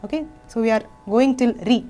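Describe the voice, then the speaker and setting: A young woman, teaching a singing lesson, gently and patiently explaining phrases between short sung examples. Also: on the high side, fast, soft background music.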